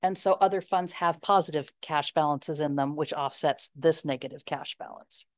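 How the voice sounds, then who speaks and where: A woman explains district funds to a board in a measured, explanatory manner. Her voice is quite low. The sound is dry. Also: no echo. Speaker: district finance officer. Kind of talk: budget report.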